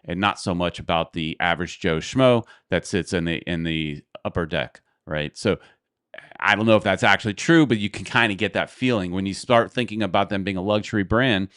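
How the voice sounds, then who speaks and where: Smooth-voiced man thinking aloud. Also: low voice, fairly quick, fairly bright tone, dry recording, clean studio sound. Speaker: podcast host. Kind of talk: casual chat.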